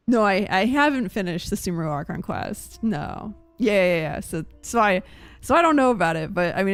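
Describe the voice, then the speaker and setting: A woman's voice: on the low side, vocal fry. A woman giving casual live-stream gameplay commentary, musing aloud in an informal way. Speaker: game streamer.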